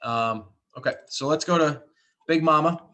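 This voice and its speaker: A man's voice: on the high side, moderate pace, bright, forceful tone. Man talking informally through live market commentary. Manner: conversational, repeats and rephrases as he explains. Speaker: trading call host, trader.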